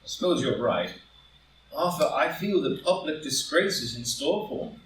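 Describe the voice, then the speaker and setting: A man's voice: a little high, sing-song, normal speed. A man playing a proud, anxious married statesman who fears scandal, earnest and melodramatic, in a stage drama reading. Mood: despairing, dread. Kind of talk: live read-through of a stage play as audio drama. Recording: very echoey room, distant mic, faint noise.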